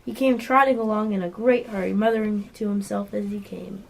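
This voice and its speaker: A woman's voice: medium pitch, steady tone, brisk, gravelly. A female narrator reading scripted storybook narration in an amateur radio play. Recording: faintly noisy amateur recording.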